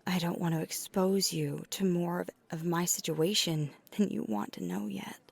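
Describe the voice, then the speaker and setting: A woman playing a tender, flirty vampire in an ASMR roleplay, speaking in a breathy, often whispered voice with earnest, hesitant pauses. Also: on the low side, steady tone, full character voice. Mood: earnest, anxious. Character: flirty, tender female vampire confessing to a date. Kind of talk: soft ASMR roleplay, intimate confession.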